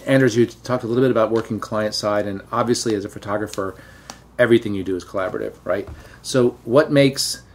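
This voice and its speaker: A middle-aged man with a Southern American accent, a teacher hosting a relaxed, chatty interview and telling anecdotes. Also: on the low side, fast talker. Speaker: podcast host and teacher. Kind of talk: casual conversational interview.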